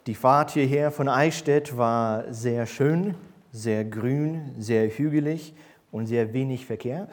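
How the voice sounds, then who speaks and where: A man's voice: medium pitch, darkish tone. A man in his thirties, a church elder who grew up in Berlin, giving a sermon in a hesitant way with many fillers and restarts. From grew up in East Berlin, born in the USA. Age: 30s. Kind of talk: sermon with personal introduction. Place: church service.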